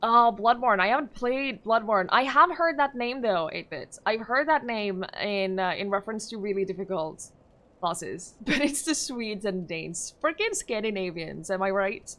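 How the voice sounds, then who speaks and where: A woman's voice: mid-range voice, animated, normal speed, a bit bright. A young woman from Norway chatting casually with her chat while streaming a game, answering questions with frequent fillers and small laughs.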